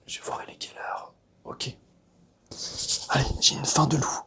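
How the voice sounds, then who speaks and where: A young man whispering softly through a comic roleplay, slangy and teasing. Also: moderate pace.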